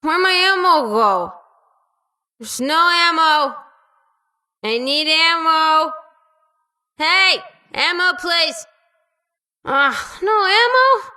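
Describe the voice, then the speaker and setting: A woman voicing an excitable, childlike, malfunctioning robot in a video game, babbling sillily and stammering in exclamations. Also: very high-pitched, very slow, long pauses, full character voice. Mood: panicky. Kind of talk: video game character voicelines.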